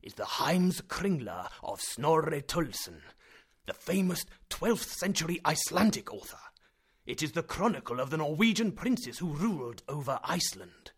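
A man voicing an excitable, impatient fifty-year-old German professor who scolds and lectures loudly. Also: medium pitch, moderate pace, husky. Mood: indignant.

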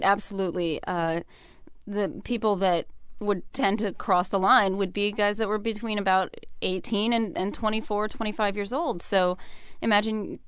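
A young American woman speaks as an interview guest in a clean, smooth voice. She is earnest and articulate, thinking through her answers, and the sound is close and dry. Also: medium pitch, dry recording. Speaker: interview guest, military veteran.

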